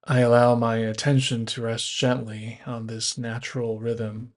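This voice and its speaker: A man guiding a body scan meditation as an instructor, speaking in measured first-person instructions. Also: mid-range voice, little pitch movement.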